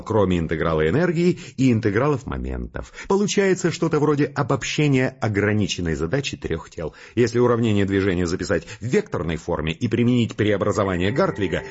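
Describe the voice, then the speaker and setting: An actor playing a harried, irritable male scientist with a gruff, sarcastic, slangy way of talking in an audio drama. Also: fairly low pitch, sing-song, fairly quick, few pauses, darkish tone, highs cut off. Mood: sarcastic, then eager.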